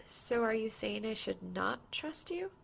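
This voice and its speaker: A woman's voice: on the high side, expressive, measured pace, gravelly, slightly rough, pitched above the performer's own voice, heavily acted. A woman voicing a teasing, fearless young woman gamer with a girlish voice in an audiobook. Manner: casual teasing banter. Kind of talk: audiobook character dialogue.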